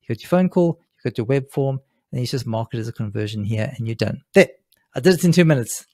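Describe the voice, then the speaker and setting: A man with a soft-edged, mellow voice walks through a software tutorial step by step. His delivery is informal and unscripted, and the sound is very dry, with little room around it. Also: medium pitch, expressive, fast talker, no room sound. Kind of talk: casual screen-share tutorial, step by step. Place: indoors, seated at a computer.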